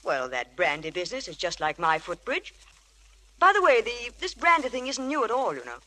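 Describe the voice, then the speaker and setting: A woman's voice: fairly high pitch, fairly quick, full character voice. An actress playing a chatty, keen woman mystery novelist in an effusive 1940s radio drama style. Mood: brisk, chatty. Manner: effusive, animated. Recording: old radio broadcast recording.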